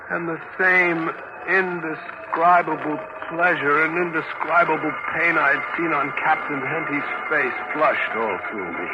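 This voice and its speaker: An actor playing a weak, delirious and jealous castaway sailor in a 1940s radio drama, halting and impassioned. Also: male voice, on the high side, dull audio. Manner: halting, impassioned, swinging into anguished shouts. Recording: noisy 1940s radio broadcast recording.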